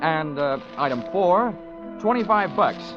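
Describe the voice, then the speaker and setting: An actor playing a cynical, hard-boiled American investigator, narrating and talking with dry, breezy sarcasm in 1940s radio style. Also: male voice, fairly high pitch, animated, unhurried. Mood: sardonic narration. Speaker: lead and first-person narrator. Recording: dull vintage radio recording, music behind.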